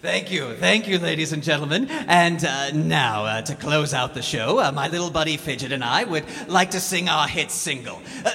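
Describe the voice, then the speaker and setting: A man's voice: a little high, pushed and bright, slightly rough, big-room sound, pitched below the performer's own voice, heavily acted. A man playing a vain villainous showman who sings and banters with the crowd on a live stage. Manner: smarmy crooning showman with stage patter. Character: vain villainous male lounge-singer showman. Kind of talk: live comedic radio-play musical performance.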